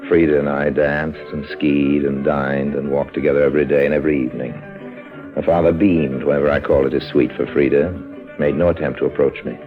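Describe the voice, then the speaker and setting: An actor in an old radio drama playing a wry American con man, urbane and understated, with an American accent. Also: extremely low pitch. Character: smooth, wry American con man, first-person narrator. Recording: dull vintage radio recording, music behind.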